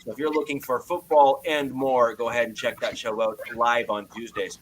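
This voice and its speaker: A man hosting a casual sports podcast over a video call, loud-voiced and loose, filling his sentences with "uh". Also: mid-range voice, flat delivery, moderate pace, slight background noise. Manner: loose, filler-heavy, frequent "uh". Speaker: podcast host.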